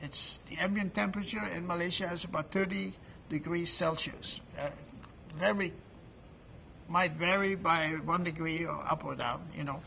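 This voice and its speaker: A man in his late seventies gives an informal testimonial at a trade event, talking haltingly and often restarting words with fillers. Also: on the high side, creaky, sharp tone, a little hiss or hum. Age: 70s. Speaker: product promoter at an event booth.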